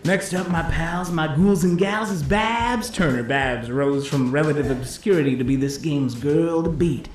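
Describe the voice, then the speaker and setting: A man's voice: on the high side, close to an everyday voice. Man playing a showy, echoing 1950s bowling announcer. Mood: gleeful, teasing. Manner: showy hepcat patter with drawn-out flourishes. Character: slick, cheeky 1950s bowling-alley disc jockey announcer. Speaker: play-by-play announcer. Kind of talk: 1950s radio-style sports commentary. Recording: roomy echo, music underneath.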